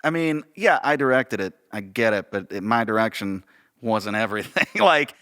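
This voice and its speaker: A man's voice: lowish voice, animated, normal speed, sharp tone, smooth. A man co-hosting a podcast, talking casually and enthusiastically with emphatic repetition. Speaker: podcast co-host, director. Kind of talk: casual conversational podcast chat.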